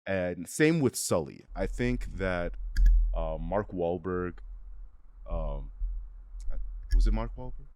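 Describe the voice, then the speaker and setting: A man co-hosting a casual film review, talking haltingly and rephrasing as he thinks. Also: sits low, lively intonation, a little mellow, dry recording. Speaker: review show co-host.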